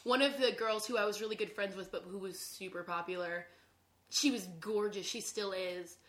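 A woman's voice: mid-range voice, steady tone, normal speed, fairly bright tone. A young woman chatting casually as a podcast guest, informal and self-deprecating in how she talks. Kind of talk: casual conversational chat. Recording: roomy, echoing sound.

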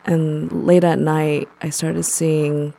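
A woman playing a blunt, deadpan lover of spooky things in an audio drama, speaking haltingly. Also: sits low, gravelly, a little hiss or hum, full character voice. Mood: keen, eerie. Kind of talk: naturalistic audio drama storytelling.

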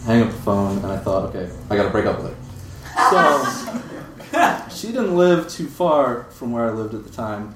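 A man with a Midwestern American accent speaks at a slightly low pitch, recorded from a distance in an echoey room. He tells a personal story casually, poking fun at himself and swearing now and then. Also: big pitch swings, moderate pace. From Europe, Britain, moved to the States in seventh grade. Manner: casual, self-deprecating, swears. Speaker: guest storyteller. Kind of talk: live comic storytelling to an audience. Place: live event room with audience. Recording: echoey live room, distant mic, noisy.

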